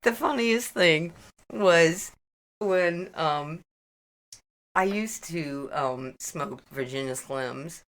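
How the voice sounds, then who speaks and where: A middle-aged woman with a low voice that still has a bright edge. She takes her time, reminiscing casually and haltingly as a podcast guest, with an informal, giggly manner. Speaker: podcast guest, a host's mother.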